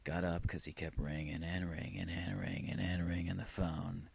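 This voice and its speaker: A man talking casually and reflectively about his own old tapes, halting and rambling with a wry edge. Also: sits low, vocal fry, a little mellow, breathy edge. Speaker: vlogger playing old answering machine tapes. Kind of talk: casual rambling personal narration.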